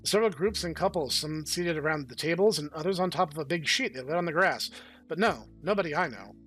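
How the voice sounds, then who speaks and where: A young man hosts a let's play, narrating a visual novel aloud. He has a high-pitched voice and slips in wry, joking asides. Faint music sits beneath him. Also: expressive, fairly quick, fairly bright tone, slightly rough.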